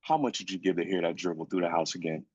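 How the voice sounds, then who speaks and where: A man's voice: low voice, quick pace, a little mellow, slightly rough, no echo. A man playing a weary, grieving husband and father in a screenplay reading, insistent yet supportive. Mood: gently encouraging. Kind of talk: live staged screenplay reading. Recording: dull, lo-fi sound.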